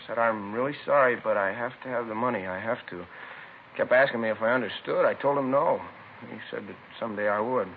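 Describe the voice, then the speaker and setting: Vintage lo-fi radio drama, male actor as store manager, average-pitched voice, colloquial, fragmented, chatty, put-out. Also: brisk, gravelly, natural voice. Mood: aggrieved. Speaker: witness. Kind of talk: 1950s radio drama acting, naturalistic. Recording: lo-fi noisy 1950s radio recording.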